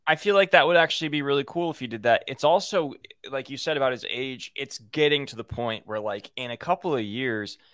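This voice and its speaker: A man with a young-sounding voice of middling pitch chats on a podcast, recorded dry and close. He rambles loosely, with plenty of "like" fillers and the occasional joke. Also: brisk, hardly any pauses, a bit bright, clean tone, no echo. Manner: rambling, lots of "like" fillers, joking. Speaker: podcast co-host. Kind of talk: casual podcast chat.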